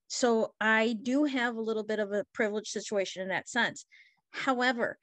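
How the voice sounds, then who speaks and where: A woman's voice: medium pitch, no echo. Woman from Costa Rica, teacher, earnest with many fillers, dry video call sound. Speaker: Spanish language arts teacher. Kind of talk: webinar discussion.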